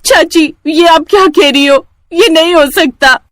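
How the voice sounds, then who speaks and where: A woman's voice: extremely high pitch, measured pace, a bit bright, no room sound, clean studio sound, voice pushed up. A woman voicing a sweet, trusting young village daughter with an earnest, girlish manner in a cartoon. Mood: anxious. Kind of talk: cartoon character acting.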